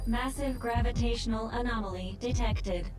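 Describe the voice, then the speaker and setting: A woman's voice: fairly high pitch, normal speed, over music, natural voice. A woman voicing a polite, composed female AI. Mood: calm. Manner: calm, courteous, formal. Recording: distant voice under loud orchestral score.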